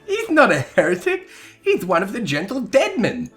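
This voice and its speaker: Man putting on an excitable, high young lady. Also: normal speed, faint music behind, exaggerated voice. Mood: protesting. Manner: eager, high-pitched exclamations. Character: excitable, earnest young lady assistant investigator. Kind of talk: melodramatic video game dialogue reading.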